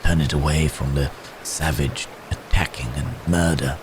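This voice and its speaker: A man playing a gentle, troubled wounded man cursed as a werebeast in an ASMR roleplay, speaking haltingly in formal, old-fashioned phrases with sighs. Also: rumbling low, steady tone, background noise. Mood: brooding, troubled.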